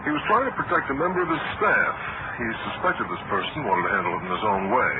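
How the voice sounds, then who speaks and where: Probing detective, tinny noisy radio. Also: male voice, lowish voice, very animated, quick pace. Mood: explanatory. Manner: pointed, methodical questioning. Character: probing, authoritative male detective running lie-detector tests. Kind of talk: old-time radio drama acting. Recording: muffled narrow-band vintage radio, loud noise.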